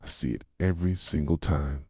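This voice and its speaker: A man playing a jealous, possessive yandere boyfriend in an intimate ASMR roleplay, speaking softly and tenderly and slipping into whispers. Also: steady tone, fairly quick, slightly rough, dry recording, clean studio sound. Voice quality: soft, low, slipping into whispers. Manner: tender, brooding, confessional.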